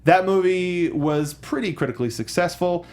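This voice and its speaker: A man hosting a film discussion show, chatting casually in a halting, jokey way. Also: high-pitched, darkish tone. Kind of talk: casual panel chat about movies.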